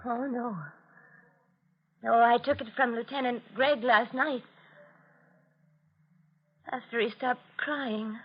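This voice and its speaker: High-voiced, unhinged young murderess. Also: female voice, fairly even intonation, takes it slowly, pauses often. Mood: brooding, accusing. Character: beautiful, insane young murderess, childlike and volatile. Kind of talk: 1940s radio thriller acting. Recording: dull, noisy old radio recording.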